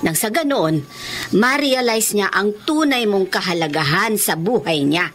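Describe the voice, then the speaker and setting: A woman's voice: medium pitch, lively intonation, a little slow, fairly bright tone. An actress playing a caring, protective mother in a radio drama, speaking in an earnest, consoling way. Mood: concerned, consoling. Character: caring, protective mother advising her grieving daughter. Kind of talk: Tagalog radio drama acting.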